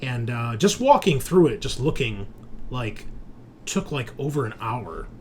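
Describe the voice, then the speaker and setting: A man's voice: medium pitch, big pitch swings, measured pace, a little hiss or hum. An American man in casual, sometimes sweary chat as he hosts a tabletop game stream. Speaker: host and game master.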